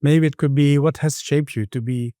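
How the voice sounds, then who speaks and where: A middle-aged man from the Middle East and Sweden speaking reflectively as a podcast guest, with halting phrasing and repeated words. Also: on the high side, fairly even intonation, warm tone, no echo, studio quality. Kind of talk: reflective interview conversation.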